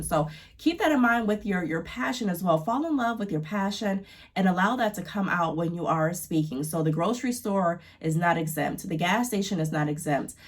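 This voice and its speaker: A young woman vlogger with a congested, stuffy voice, giving chatty and encouraging business advice to camera with lots of fillers. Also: medium pitch. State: congested from allergies. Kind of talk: casual advice talk to camera.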